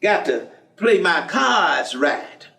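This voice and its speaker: Actor as high-pitched folksy ex-convict, echoey stage. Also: male voice, sing-song, takes it slowly, creaky, pushed and bright, exaggerated voice. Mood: teasing, coaxing. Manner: drawling, chuckling, colloquial, coaxing. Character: folksy, streetwise ex-convict host, persistent and teasing. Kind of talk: staged play reading before an audience. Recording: echoey stage room, distant microphone.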